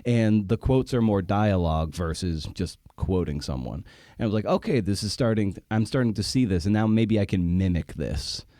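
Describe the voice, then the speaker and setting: A man's voice: fairly low pitch, lively intonation, moderate pace, dark tone, no room sound, studio quality. A man hosting a casual conversational interview, thinking aloud in a rambling way and repeating words for emphasis. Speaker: podcast host.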